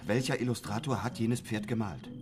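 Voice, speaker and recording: A man plays a devoted, lovesick young disciple in a literary radio drama. His voice is low and his manner deferential and earnest. Plucked guitar music sounds underneath. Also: fairly quick, a little mellow, close to an everyday voice. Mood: earnest, pleading. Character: younger apprentice painter, respectful, lovesick newlywed, earnest.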